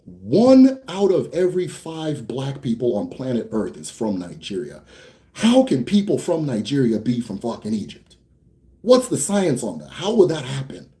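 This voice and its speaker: A young American man hosting a casual Q&A for viewers. He talks bluntly, swears freely, rambles a bit, then slows down to explain. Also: medium pitch, wildly swooping pitch, mellow. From United States. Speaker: host answering viewer questions. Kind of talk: casual livestream Q&A.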